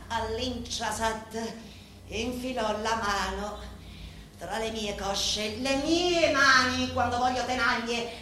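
An actress playing a proud, determined young Sicilian woman in a radio play, with a Sicilian accent and deliberate, dramatic delivery full of weighty pauses. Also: on the high side, a bit bright. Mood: grave, tense. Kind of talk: theatrical monologue in a radio play. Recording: very echoey room, faint background noise.

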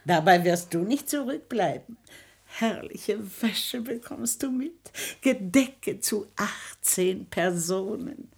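A woman's voice: medium pitch, lively intonation, slow pace, vocal fry, breathy edge, full character voice. An actress playing a fussy, bossy, doting old grandmother in a theatrical radio comedy, exclaiming and scolding. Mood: coaxing. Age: older. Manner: scolding, exclamatory, chatty, old-fashioned stage German.

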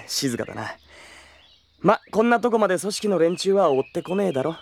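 Brash hothead, wildly swinging pitch. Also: male voice, sing-song, moderate pace, slight background noise, full character voice. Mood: cocky, grumbling. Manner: rough, slangy, cocky speech. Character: brash, hot-tempered young man, rough and teasing. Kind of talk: Japanese audio drama acting.

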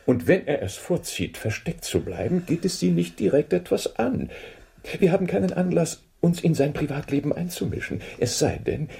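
Keen detective, radio drama, deliberate, probing, musing, very varied intonation, gravelly edge. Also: male voice, a little high, very animated, dark tone, full character voice. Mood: measured, reassuring. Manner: deliberate, musing, deductive, questioning. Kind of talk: German radio drama acting.

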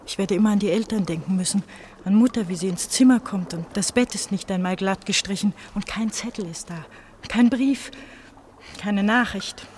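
Radio play role: woman as troubled eighteen-year-old runaway pastor's daughter; halting, brooding, mid-pitched, faint noise behind. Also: darkish tone, natural voice. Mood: wistful, troubled. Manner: brooding, halting monologue with repeated phrases. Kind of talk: German radio drama acting.

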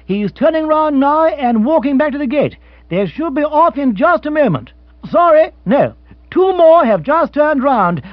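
A man's voice: very high-pitched, no echo, lo-fi sound, voice pushed up. A man reading an audiobook, voicing a male radio horse-race commentator calling the race in a rising, eager rush.

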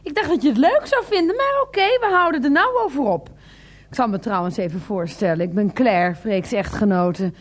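An actress playing a sarcastic, sharp-tongued wife in a radio comedy drama. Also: female voice, a little high, animated, fairly quick.

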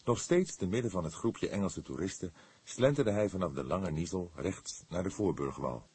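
A man reads a novel aloud as scripted narration. His voice sits low and is extremely husky and grainy. He delivers it as an audiobook narrator. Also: expressive, moderate pace, a little mellow.

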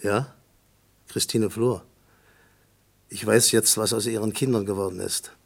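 Gravelly, matter-of-fact husband. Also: male voice, fairly low pitch, steady tone, a little slow. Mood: sober, reflective. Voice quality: gravelly, husky. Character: gruff, sober-minded husband who writes memoirs. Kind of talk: German radio play acting.

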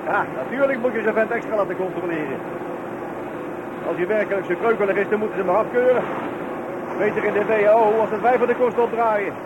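An actor playing a gruff, harried, impatient workshop boss in a radio play, brusque and unsympathetic, on a tinny, noisy recording. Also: male voice, sits high, a little fast, close to an everyday voice. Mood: irritable, complaining. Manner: brusque, irritable. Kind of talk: Dutch radio play acting. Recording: tinny, band-limited sound with loud noise.

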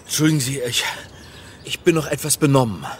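A man's voice: medium pitch, lively intonation, brisk, slight background noise, lightly acted. An actor playing a hapless, wry crime hero who narrates his own story in a radio play. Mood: dazed, sheepish.

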